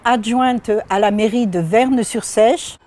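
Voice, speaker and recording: A woman's voice: on the high side, measured pace, dark tone. A woman, a local deputy mayor, giving an assertive, direct campaign testimonial.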